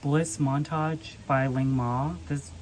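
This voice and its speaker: A woman's voice: extremely low pitch, fairly even intonation, takes it slowly, a little mellow, slight background noise. A woman co-hosting a book vlog, chatting casually as she reads questions and gives her answers. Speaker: book vlogger co-hosting a Q&A tag. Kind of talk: casual chat.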